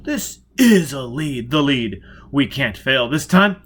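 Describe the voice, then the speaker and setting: A hard, driven man who barks his lines loud and raised, domineering and relentless, as the narrator voices him. His voice is pushed up above the reader's own. He is a character in a spoken storybook. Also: mid-range voice, sing-song, slow pace, slight background noise, pitched above the performer's own voice. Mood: eager. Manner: loud, forceful, commanding.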